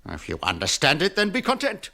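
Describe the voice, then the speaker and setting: An actor playing a stern, grieving old lord steward in British fantasy radio drama, speaking formally and imperiously with archaic phrasing. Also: male voice, fairly high pitch, wildly swooping pitch, a little fast, gravelly, bright, forceful tone, full character voice. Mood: proud, defiant.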